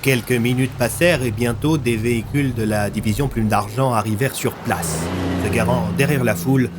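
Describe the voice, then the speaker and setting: A man's voice: mid-range voice, fairly quick, over music. A man narrating a scripted audio story as its storyteller.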